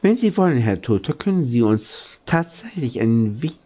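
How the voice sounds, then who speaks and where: A man's voice: mid-range voice, big pitch swings, brisk, dark tone. A man voicing a thoughtful, polite, curious male locomotive driver in a storybook reading.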